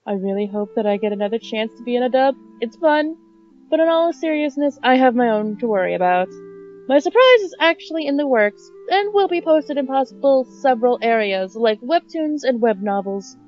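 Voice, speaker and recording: Dull lo-fi recording of a high-voiced woman. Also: expressive, fairly quick, darkish tone, soft background music. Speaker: community events leader, amateur voice actor. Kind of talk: scripted self-introduction and project announcement. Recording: dull lo-fi microphone.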